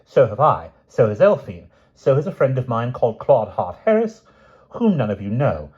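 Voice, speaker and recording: A man voicing a sensible young woman who speaks loudly and clearly. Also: low-pitched, fairly even intonation, fast. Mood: declaring. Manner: loud and clear. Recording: dull lo-fi recording.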